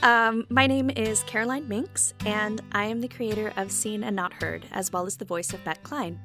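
A woman with a bright, clear voice hosting a relaxed podcast conversation. She sounds enthusiastic and laughs often. The audio is from a video call. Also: medium pitch, normal speed, faint music behind. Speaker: podcast host and audio drama creator. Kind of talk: casual interview chat.

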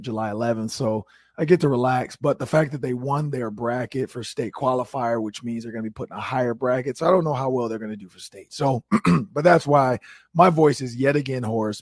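A man hosting a sports talk show, his voice hoarse from shouting, talking energetically. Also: mid-range voice, no room sound, studio quality. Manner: animated, energetic opinions. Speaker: host.

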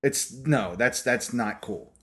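Loud teasing podcast host, a man. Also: mid-range voice, slow pace. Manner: loud, boisterous and teasing, swears freely. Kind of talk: casual banter.

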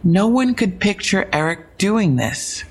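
An actress playing a frank, inquisitive police investigator in an audio drama, matter-of-fact and wry, with a husky, creaky voice. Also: female voice, mid-range voice, lively intonation, measured pace, darkish tone. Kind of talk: full-cast audio drama dialogue.

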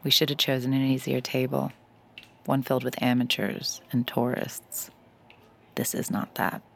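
An actress playing Sid, a cynical gambling mind reader, narrating and talking wryly in an audio drama. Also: female voice, a bass voice, steady tone, normal speed, creaky, slight background noise. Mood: tense, confessional. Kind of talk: audio drama dialogue and confessional first-person narration.

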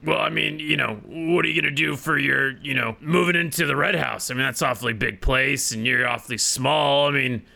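A man playing a sullen, sarcastic defeated ruler of hell in an improvised comedy, with a deadpan, grudging manner. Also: mid-range voice, a little fast, vocal fry, few pauses, heavily acted. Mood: sarcastic, sulky. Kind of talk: improvised comedy podcast acting.

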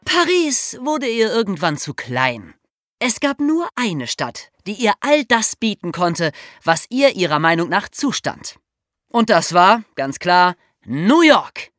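Animated man with a lowish voice. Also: slow pace, no echo, clean studio sound. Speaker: podcast co-host. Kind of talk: scripted true-crime podcast narration.

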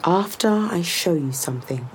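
A woman's voice: on the low side, lively intonation, normal speed, very noisy, close to an everyday voice. A woman playing a poised, guarded corporate executive in an audio drama, speaking formally and with control. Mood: cool, dry. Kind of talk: scripted audio drama acting.

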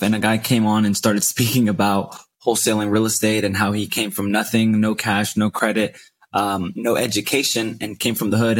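A man in his twenties, a podcast guest, telling his story in a relaxed way with many fillers. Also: on the low side, slightly rough. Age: 20s. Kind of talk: casual interview talk.

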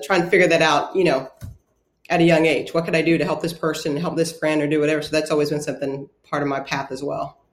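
A woman from Ghana answers interview questions thoughtfully, often pausing with an um. Her voice has a roomy, echoey sound, as if recorded remotely in a bare room. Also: low voice. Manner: thoughtful, many ums and restarts. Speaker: guest, sports psychologist.

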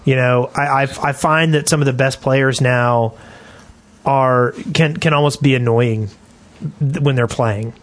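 A man's voice: mid-range voice, steady tone, measured pace. A man co-hosting a podcast, chatting casually about a video game in a rambling way that often repeats phrases. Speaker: podcast co-host.

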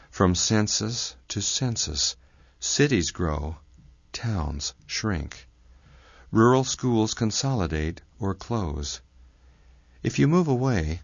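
A man reading a scripted documentary narration in a measured, steady way. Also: on the low side, dry recording. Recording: slightly dull, dry recording with soft guitar music.

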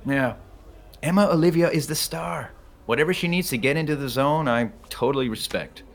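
A man's voice: fairly high pitch, normal speed, vocal fry. A man playing a naive, good-natured personal trainer turned stage actor in a scripted comedy audio drama. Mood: sincere, mild.

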